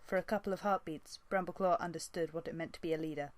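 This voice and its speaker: A woman's voice: sits low, fairly even intonation, quick pace. A woman narrating an animal fantasy novel as an amateur audiobook reader. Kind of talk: scripted storybook narration.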